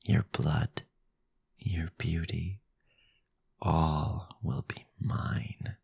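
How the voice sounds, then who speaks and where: A man playing a sinister, possessive vampire lord in an audio roleplay, commanding and formal, with a breathy, gravelly voice. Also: rumbling low, steady tone, a little mellow, in a whisper, exaggerated voice. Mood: hungry, seductive.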